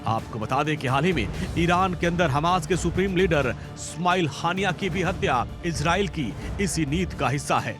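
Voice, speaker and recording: A man's voice: a little high, animated, normal speed, hardly any pauses. A man narrating a news feature in a dramatic, emphatic scripted style. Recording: voice-over with loud music bed.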